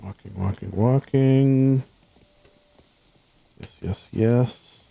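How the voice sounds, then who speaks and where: A hoarse man mumbling to himself as he plays a game, thinking aloud and trailing off, speaking close to a desk mic at home. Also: medium pitch, extremely slow, no room sound, muffled recording. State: hoarse, losing his voice. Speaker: gaming streamer.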